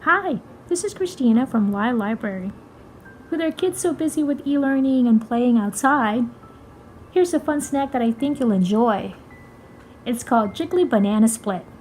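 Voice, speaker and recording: High-voiced woman presenting a recipe over music. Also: moderate pace, a little mellow, low-quality recording. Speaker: library presenter. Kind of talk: recipe demonstration to camera. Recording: background music under the voice.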